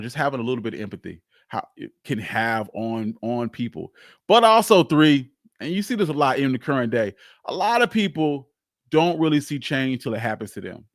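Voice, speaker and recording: A man's voice: mid-range voice, no echo, clean studio sound. A man hosting a live history show, telling stories in an informal, rambling way with plenty of slang. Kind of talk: casual live history storytelling.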